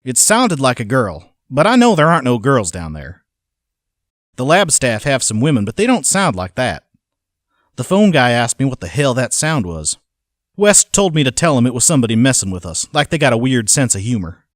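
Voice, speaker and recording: A man's voice: mid-range voice, very animated, moderate pace, no echo, clean studio sound, close to an everyday voice. A man playing an uneasy, working-class groundskeeper who gives a colloquial, plainspoken journal account in an audio drama. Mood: uneasy, matter-of-fact.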